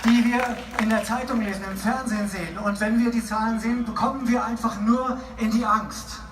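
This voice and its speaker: A man's voice: sits high, steady tone, fairly quick, noisy background. A man interpreting a political speech live at a rally in an emphatic, declamatory way. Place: outdoor rally in a city, over loudspeakers. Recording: echoey distant PA, music and crowd.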